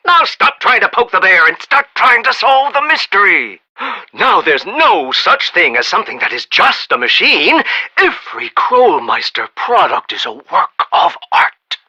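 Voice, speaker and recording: Bright, blustery folksy American tycoon inventor. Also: male voice, high voice, husky, exaggerated voice, rough recording. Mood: jovial, blustery, then proud, indignant. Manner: folksy, rambling, emphatic. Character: blustery, folksy, self-made Midwestern inventor tycoon, proud and eccentric. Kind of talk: animated video game voice acting.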